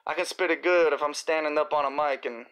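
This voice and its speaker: A man with a slightly raspy voice, hosting a casual live Q&A, reading viewer comments and answering in a laid-back, rambling way with slang. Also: on the high side, steady tone, fast, a bit bright.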